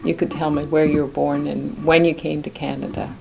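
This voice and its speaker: A woman's voice: low-pitched, level tone, brisk, creaky. A woman interviewing, asking short questions and giving murmured acknowledgements. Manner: brief prompts and murmured acknowledgements. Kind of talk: oral history interview. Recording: loud tape hiss.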